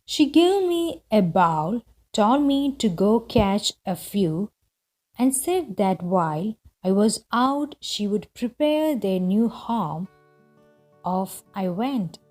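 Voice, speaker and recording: A woman narrates a storybook read-aloud for language learners. She reads slowly and deliberately, with clear phrasing and a mid-range pitch. Soft music plays faintly behind her voice. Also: sing-song.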